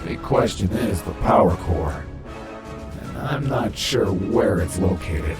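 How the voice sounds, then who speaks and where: An actor playing a serious, practical armored hero in a comic dub, speaking in a measured, matter-of-fact way. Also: male voice, low-pitched, lively intonation, full character voice. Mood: grave, practical. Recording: echoey, with music behind.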